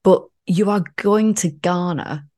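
A woman's voice: on the low side, slow, dark tone. A woman co-hosting a podcast, chatting in a jokey, playful way and swearing casually. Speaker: podcast co-host.

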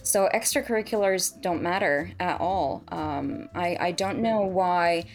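A woman's voice: lowish voice, measured pace. Woman, thirties, from Serbia, surgeon guest, hesitant, many ums, faint music. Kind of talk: casual podcast chat.

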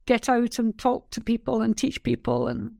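Older woman, high pitch, dry close sound; emphatic mentor in a podcast interview. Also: little pitch movement, moderate pace, creaky, darkish tone, no room sound. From England. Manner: emphatic, repeats key phrases. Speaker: business mentor guest. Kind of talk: reflective interview talk.

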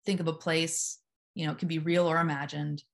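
Woman, low and steady hypnotherapist voice, a touch of fry. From Memphis, US South. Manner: calm, deliberate, steady delivery. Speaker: hypnotherapist at a psych hospital, guest. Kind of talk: casual interview conversation.

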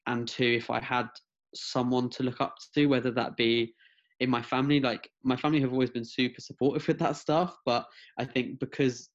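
A young man in his twenties speaks earnestly over a video call, often restarting his sentences. His voice sits at an ordinary pitch for a man. The audio sounds slightly dull and lo-fi. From England and France. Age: 20s. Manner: earnest, many restarts and fillers. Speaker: guest, mental health advocate.

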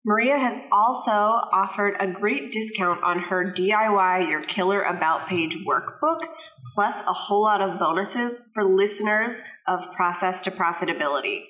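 A woman's voice: medium pitch, normal speed, fairly bright tone, reverberant, poor audio. A woman hosting a podcast, reading a friendly scripted intro and asking questions, recorded in her new home office. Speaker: podcast host.

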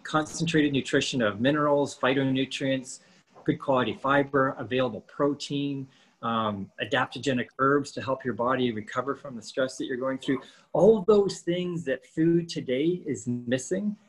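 A man's voice: medium pitch, moderate pace, husky, poor audio. A man in his fifties co-hosting a casual podcast, explaining training and nutrition with lots of rhetorical questions. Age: 50s.

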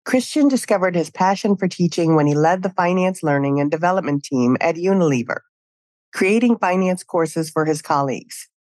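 Low-voiced woman podcast host. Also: expressive, darkish tone, no room sound. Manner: measured, reads guest introduction. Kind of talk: scripted intro and interview questions.

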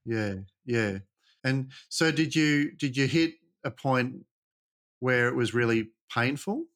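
A man interviewing a guest, asking halting, probing questions and summing up what the guest said. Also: mid-range voice, fairly bright tone. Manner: hesitant, halting questions that sum up the guest's answers.

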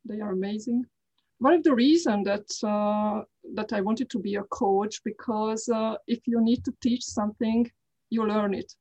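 A middle-aged woman from Hungary with a Hungarian accent, talking as an interview guest in careful, halting English with frequent restarts. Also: medium pitch, warm tone, dull audio. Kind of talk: casual interview talk.

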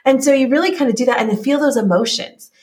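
A woman's voice: high voice, fast, darkish tone. A woman from California with an American accent, explaining things conversationally as a coach and podcast guest, encouraging and acting out little inner dialogues.

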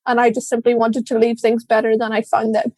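A woman's voice: a little high, completely flat delivery, a little fast, darkish tone, no echo. A woman with an Irish accent, a diversity and inclusion director, answering interview questions in a reflective, candid way. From Ireland. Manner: reflective, candid, with light laughs.

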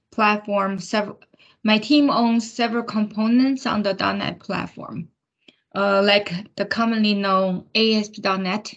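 A woman's voice: mid-range voice, creaky, fairly bright tone, highs cut off. Woman in her 50s, native Chinese speaker from China, interview guest. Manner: plain storytelling with light laughs. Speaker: software engineering manager. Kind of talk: interview answers.

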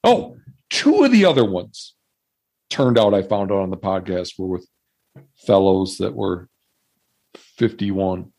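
A man in his fifties hosting a podcast, asking questions haltingly with many restarts. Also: low-pitched, big pitch swings, darkish tone. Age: 50s. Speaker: podcast host. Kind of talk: casual interview.

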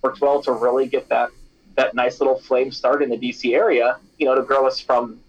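A young man talks through his story in a loose, chatty way, leaning on fillers as he goes. His voice sits in a middle pitch. The sound is a bit dull and lo-fi, like a remote call. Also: a little fast, soft background music. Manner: chatty and animated, many fillers like "you know" and "like". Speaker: snack company founder, former radio DJ. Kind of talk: casual interview answers.

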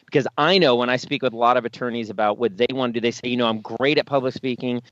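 A man's voice: medium pitch, steady tone, fast, a bit bright, no echo. A man hosting an interview, introducing his guest and asking questions in an upbeat, encouraging way. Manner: upbeat, encouraging, lots of affirmations. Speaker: interview host. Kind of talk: hosted interview. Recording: dry, slightly muffled audio.

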